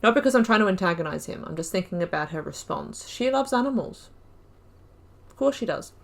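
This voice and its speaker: Woman gamer, lively voice, thinking aloud, laughs a lot, desk mic. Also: mid-range voice, a little fast, creaky. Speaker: gaming channel host. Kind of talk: let's play commentary.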